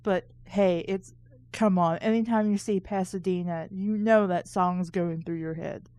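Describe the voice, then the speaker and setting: Slow-paced, mellow woman reading aloud. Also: on the low side, fairly even intonation, dry recording. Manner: sarcastic, critical commentary. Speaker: host of a book reading show. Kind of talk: casual read-aloud with snarky asides.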